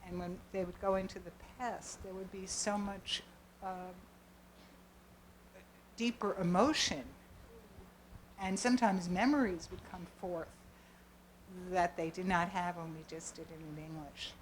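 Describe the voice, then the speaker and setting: A woman in the audience poses a long, rambling question to a panel. Her voice is slightly low, with faint background noise around her. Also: steady tone, unhurried, long pauses, low-quality recording. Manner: earnest, rambling. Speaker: audience member asking a question. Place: event hall.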